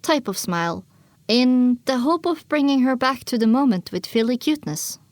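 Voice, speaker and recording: A woman's voice: high voice, lively intonation, moderate pace, no room sound. A woman narrating an audio drama in the first person, with a dramatic scripted delivery.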